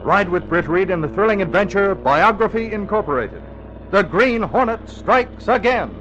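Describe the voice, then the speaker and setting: Theatrical American radio announcer, dull vintage recording. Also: male voice, sits high, lively intonation, moderate pace, background noise. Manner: theatrical, emphatic, formal enunciation. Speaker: announcer and narrator. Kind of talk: dramatic 1940s radio narration.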